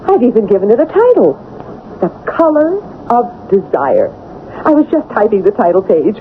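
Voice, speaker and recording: A woman's voice: medium pitch, very animated, moderate pace, lightly acted. An actress playing an earnest, worried woman with genteel, dramatic delivery in a 1970s radio drama. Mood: intense, insistent. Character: earnest, worried woman recounting her friend's troubles with a spirit. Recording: tinny, hissy old radio broadcast.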